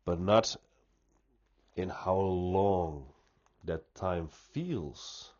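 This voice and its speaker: Slow, deep-voiced man with a stuffy nose. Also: darkish tone, muffled recording. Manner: slow, deliberate, thoughtful, with frequent restarts. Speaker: pipe-smoking vlogger. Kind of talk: unscripted reflective monologue.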